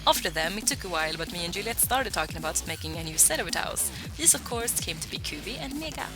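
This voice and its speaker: Young woman with a vocal fry. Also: on the low side, expressive, fast talker, music in the background. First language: Swedish. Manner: chatty and self-deprecating, with filler "like" and asides. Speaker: voicebank creator answering fan questions. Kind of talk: casual Q&A vlog talk.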